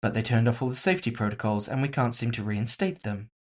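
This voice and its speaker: A man's voice: medium pitch, fast, natural voice. A man voicing a composed, villainous woman executive in audio fiction, casually polite, mocking and condescending. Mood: coolly dismissive. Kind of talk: audio fiction character dialogue.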